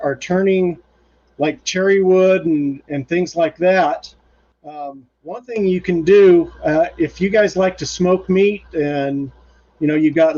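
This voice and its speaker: A man's voice: fairly high pitch, a little mellow. A man hosting a live woodturning demonstration in his home shop, talking casually with plenty of fillers and restarts. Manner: rambling, many fillers and restarts. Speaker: woodturning livestream host. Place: home woodshop. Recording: muffled lo-fi stream audio.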